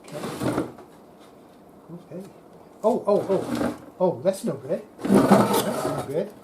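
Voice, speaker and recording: A woman's voice: fairly low pitch, lively intonation, slow pace, heavy background noise. A woman hosting a repair video, talking casually to herself as she works, exasperated and mildly swearing. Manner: exasperated, mutters to self, mild swearing. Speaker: host of a radio repair video. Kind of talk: casual talk while working at a repair bench.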